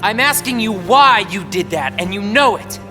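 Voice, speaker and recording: A man's voice: sits high, lively intonation, moderate pace, music in the background. An actor playing an earnest, vulnerable teenage boy in a video game, youthful and sincere. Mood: angry, hurt. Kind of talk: video game cutscene voice acting.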